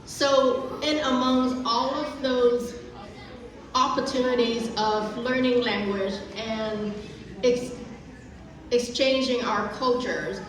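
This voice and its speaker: A woman's voice: on the high side, a bit bright. A middle-aged woman from Vietnam with a Vietnamese accent, giving an earnest, careful prepared speech at a community event with frequent restarts. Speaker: guest speaker. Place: large echoey event hall. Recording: echoey hall, voice from far off.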